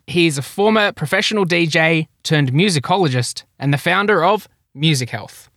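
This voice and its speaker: A man's voice: fairly high pitch, a bit bright, no echo, studio quality. A man hosting a health technology podcast, upbeat and chatty as he introduces his guest. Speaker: podcast host. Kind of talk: podcast interview.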